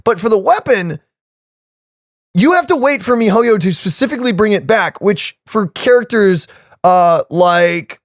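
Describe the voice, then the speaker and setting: A man co-hosting a game reaction video, casually explaining stats with lots of fillers in an animated way. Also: high voice, measured pace, dry recording, clean studio sound. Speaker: co-host of a game reaction channel.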